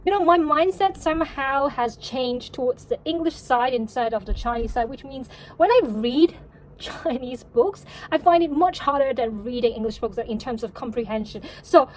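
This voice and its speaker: A woman whose first language is Cantonese, chatting casually to a vlog audience in a halting, self-correcting way. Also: sits high, a little mellow, slight background noise, low-quality recording. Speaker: vlogger and novelist.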